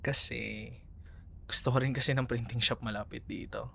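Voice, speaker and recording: A man's voice: mid-range voice, steady tone, moderate pace, creaky, bright, forceful tone, slight background noise. A man with a Filipino accent playing a young, caring, teasing ex-boyfriend in a casual, hesitant ASMR roleplay conversation. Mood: sheepish, explaining. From Philippines. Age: young adult.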